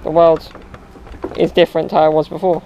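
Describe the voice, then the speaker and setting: A young man vlogging outdoors on suburban streets and in a park, chatting casually to a camera mounted low on his wheelchair, with playful boasts. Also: flat delivery, brisk, warm tone, slight background noise, poor audio. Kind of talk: casual vlog chat to camera.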